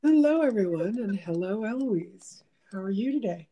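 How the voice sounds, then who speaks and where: A woman's voice: mid-range voice, expressive, moderate pace, mellow, rough recording. A woman hosting a casual chat, talking in a meandering, reflective way with many fillers. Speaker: host.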